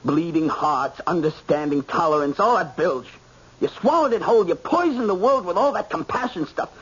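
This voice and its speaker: An actor playing an angry, self-righteous young American son, argumentative and insistent, in an old-time radio drama. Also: male voice, a little high, animated, moderate pace, a bit bright, slightly rough. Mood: accusing, agitated. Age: young adult. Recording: muffled, noisy old radio recording.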